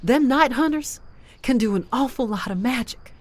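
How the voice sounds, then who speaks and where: A woman playing a rough-spoken, cowardly bandit in a fantasy visual novel. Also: a little high, expressive, normal speed. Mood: nervous. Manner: colloquial, rough grammar.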